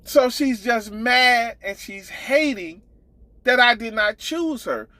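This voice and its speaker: A man's voice: very high-pitched, expressive, takes it slowly, pushed and bright, no echo. A man telling a casual gossip story as an online commentator, blunt, profane and exasperated, driving his points home with emphatic repetition.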